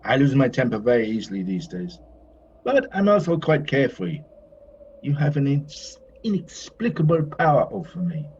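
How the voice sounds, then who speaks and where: A man's voice: mid-range voice, very animated, moderate pace, darkish tone, lightly acted. An actor playing a whimsical, courtly male painter in an audio play, moody yet carefree, with a theatrical, lyrical way of talking. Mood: apologetic, courtly. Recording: dull, slightly noisy recording.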